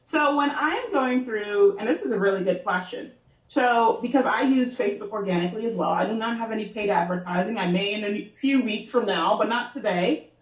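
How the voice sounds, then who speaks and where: A woman's voice: medium pitch, a little fast, reverberant, far from the mic, lo-fi sound. A woman guest, a success coach, explaining her ideas in a casual chat and often checking in with "right?".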